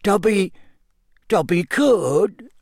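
A man's voice: sits high, animated, takes it slowly, dry recording, clean studio sound, voice pushed up, exaggerated voice. A man voicing a small male house-elf for an audiobook, eager, loyal and nervous, speaking in servile, broken grammar. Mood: nervous, hesitant. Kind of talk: audiobook character acting.

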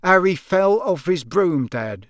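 A man's voice: high-pitched, animated, slow pace, no echo, clean studio sound, pitched above the performer's own voice. A man voicing a modest, embarrassed seventeen-year-old wizard boy in an audiobook, muttering and understated.

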